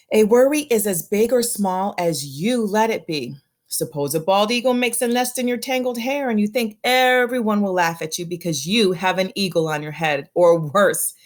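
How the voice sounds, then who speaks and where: A woman reading a children's picture book aloud as a storyteller, with expressive, deliberate phrasing and drawn-out emphasis on key words. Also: mid-range voice.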